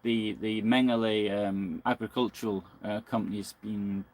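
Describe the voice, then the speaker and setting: A man giving unscripted live historical analysis as a presenter, reasoning points aloud in a halting, self-correcting, argumentative way. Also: lowish voice, fairly even intonation, darkish tone, poor audio. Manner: halting, searching delivery with frequent self-corrections.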